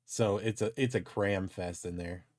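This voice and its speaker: A man's voice: lowish voice, fairly even intonation, a little slow, a little mellow, no echo, clean studio sound. A man co-hosting a livestream, chatting casually with his partner and the viewers. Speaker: livestream co-host. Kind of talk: casual chat.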